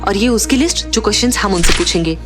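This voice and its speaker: A woman's voice: mid-range voice, lively intonation, quick pace, music in the background, natural voice. An actress playing a determined, eager young woman investigator in an audio drama, with an insistent, familiar manner. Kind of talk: Hindi audio drama acting.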